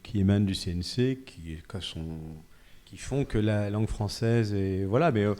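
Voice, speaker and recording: Male producer on a conference panel, low and mellow, calm and matter-of-fact. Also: normal speed. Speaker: film producer. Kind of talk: panel discussion. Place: conference hall.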